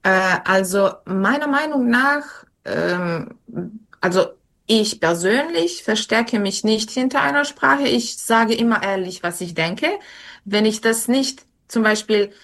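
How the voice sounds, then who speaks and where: A young woman, not a native speaker, answering interview questions slowly with many fillers and restarts. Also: mid-range voice, animated, fairly bright tone. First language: not German. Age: young adult.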